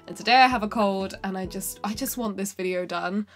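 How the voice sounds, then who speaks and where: A woman's voice: mid-range voice, fairly quick, faint music behind. A woman in her twenties with a cold and a nasal voice, chatting to camera in her bedroom, rambling with lots of fillers and self-corrections. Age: 20s. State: has a cold, sounds nasal. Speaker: singer-songwriter and vlogger. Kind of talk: casual talking-to-camera vlog. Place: bedroom indoors.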